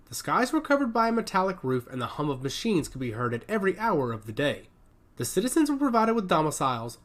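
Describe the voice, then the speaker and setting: A man narrating a scripted story in a dramatic audiobook style. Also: mid-range voice.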